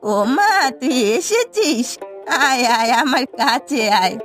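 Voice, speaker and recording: A woman's voice: sits high, sing-song, slow, faint music behind, full character voice. A woman voicing a fond, trusting old village grandmother in a cartoon, fussing in a homely way. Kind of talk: Bengali cartoon voice acting.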